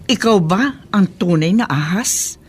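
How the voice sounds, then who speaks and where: A woman's voice: mid-range voice, lively intonation, a little slow, a little hiss or hum, close to an everyday voice. A woman playing a suspicious older mother and grandmother who probes her son in a radio drama. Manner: probing, suspicious.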